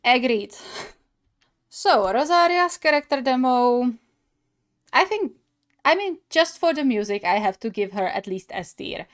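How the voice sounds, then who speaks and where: Unhurried young woman reacting, higher voice. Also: lively intonation. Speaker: gaming reaction host. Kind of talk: casual reaction commentary.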